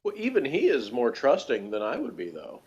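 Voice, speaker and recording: A man with a medium-pitched voice talks through a review in a loose, conversational way. He rambles and pads his points with small filler phrases. The recording is dull and a little lo-fi. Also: huge pitch swings, a little fast, darkish tone. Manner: rambling, hedging, conversational, with frequent "you know" and "like". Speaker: guest reviewer of a TV series. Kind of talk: casual review chat.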